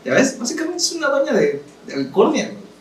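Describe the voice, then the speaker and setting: A man's voice: very high voice, huge pitch swings, fast talker. A young man from Mexico City with a chilango accent, chatting as a podcast guest and telling jokes in a rambling way that trips over words. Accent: Mexico City Spanish. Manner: jokey, laughing, stumbles over words. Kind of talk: casual chat. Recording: echoey room, distant from mic, faint hiss.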